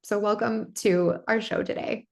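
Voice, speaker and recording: A woman's voice: mid-range voice, steady tone, measured pace, vocal fry. A woman hosting a podcast, reading a scripted welcome and then interviewing her guest with gushing enthusiasm. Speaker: podcast host.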